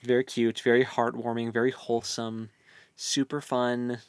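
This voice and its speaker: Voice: mid-range voice, little pitch movement, normal speed, clean tone, no room sound. Book podcast host riffing casually, tongue in cheek, repeats phrases, mid voice, really dry close sound. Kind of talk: casual chat, wry commentary on a novel.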